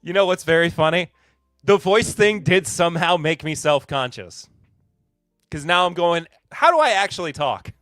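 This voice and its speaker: A man from Kentucky chats easily on his live podcast, chuckling as he reads out and answers viewer comments. His voice sits a touch high for a man. Soft music plays faintly behind the dry, close recording. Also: animated, normal speed, dry recording. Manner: laid-back, chuckling, reads chat comments aloud. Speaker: podcast host. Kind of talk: casual live-stream chat.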